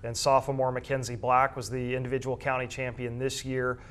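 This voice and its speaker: A man talks through high school sports as a commentator would in a relaxed discussion. His voice sits at an average pitch. He unfolds long, measured explanations with small hesitations along the way. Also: smooth.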